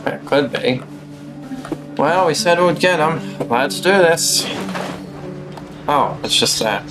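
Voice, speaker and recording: A man's voice: fairly high pitch, slow pace. A young man casually commentating on his own gameplay, talking to himself in a playful, mock-polite way. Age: young adult. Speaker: game streamer. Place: small room indoors at home. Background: game music.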